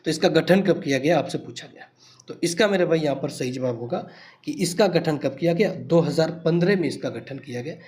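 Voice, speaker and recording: A man's voice: on the high side, brisk, a little mellow. A man teaching exam questions, speaking emphatically and repeating key points as he addresses students familiarly. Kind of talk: quiz lesson explanation for exam students.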